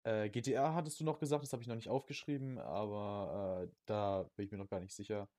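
A man's voice: fairly low pitch, fairly even intonation, fast, warm tone, dry recording, studio quality. A young man chatting casually as a podcast host about video games, peppering his talk with youth slang and English gaming words. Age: young adult.

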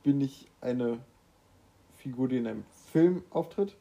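A man's voice: medium pitch, a little slow, gravelly, mellow, low-quality recording. A man co-hosting a guessing game in casual banter, with dry, mock-formal answers. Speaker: co-host playing a guessing game.

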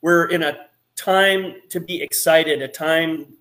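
A man's voice: a little high, fairly even intonation, slow pace, fairly bright tone. A man hosting a casual chat over a video call, upbeat and hesitant, restarting his sentences often. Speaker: host.

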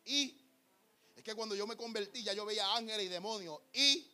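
Young male preacher, high voice, fervent, repetitive delivery. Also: moderate pace, sharp tone. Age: young adult. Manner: fervent, repetitive, calls listeners "amado". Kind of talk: Pentecostal sermon.

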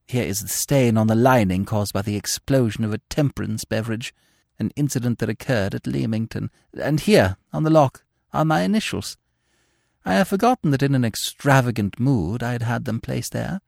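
Brief: male reader as a genteel spinster governess, high and fussy, swooping pitch. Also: vocal fry, a little mellow, no room sound, clean studio sound. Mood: prim. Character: flustered prim spinster governess, middle-aged woman.

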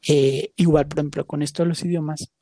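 Low-pitched, mellow woman musing aloud. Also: fairly even intonation, a little fast. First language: Spanish. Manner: musing, hesitant, searching for words. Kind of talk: casual reflective conversation.

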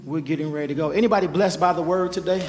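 A man who is a pastor giving an altar call and announcements to his congregation, with a hoarse voice he says he keeps losing. He talks in a coaxing, repetitive preaching manner. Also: fairly high pitch, rapid-fire, noisy background. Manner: urgent repeated coaxing, preacherly. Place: church sanctuary. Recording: live church sound with music and crowd. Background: music and congregation.

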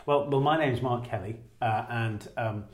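A man's voice: mid-range voice, moderate pace. A middle-aged man co-hosting a church podcast in a chatty, self-deprecating way, with easy laughter. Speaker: podcast co-host, former church leader. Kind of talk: casual chat.

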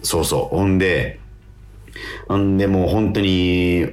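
A man's voice: low-pitched, fairly even intonation, a little slow, darkish tone, roomy sound, soft background music. A man co-hosting a radio talk, telling stories in a polite, chatty way with repeated words and hesitations. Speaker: radio show co-host and stage actor.

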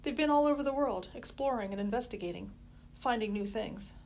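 A well-spoken, earnest boy who chooses his words politely, as a woman narrator plays him. His voice is raised somewhat above hers and keeps a slight gravelly grain. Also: fairly high pitch, animated, fairly quick, a little mellow, slight background noise.

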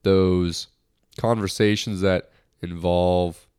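Man, young adult, very low voice, smooth, slightly nasal, lighthearted rambling podcast host. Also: steady tone, slow pace, a little mellow. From United States. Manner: lighthearted, rambling, many restarts. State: slightly nasal from a recent cold. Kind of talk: casual solo podcast talk.

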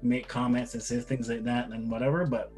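A man's voice: mid-range voice, little pitch movement, fairly quick, darkish tone, soft background music. A middle-aged man from Barbados, a guest father chatting casually in an interview, rambling with frequent restarts and repeated words.

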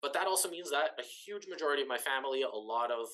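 Bright-voiced man explaining. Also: medium pitch, animated, fast talker. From Canada, Ukrainian diaspora family. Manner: explanatory, repeats and rephrases for emphasis. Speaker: interview guest, businessperson. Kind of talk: long-form conversational interview, recounting family history. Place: remote video link.

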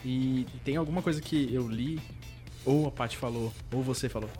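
A man's voice: medium pitch, normal speed, warm tone, soft background music. A young man with a Brazilian accent chatting casually and informally as a podcast co-host. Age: young adult. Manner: informal, slangy. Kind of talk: casual chat.

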